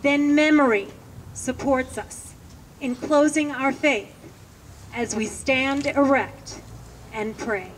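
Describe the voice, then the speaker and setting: A woman's voice: high voice, slow pace. A woman giving a solemn poetry reading at an outdoor ceremony. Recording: faint outdoor background noise.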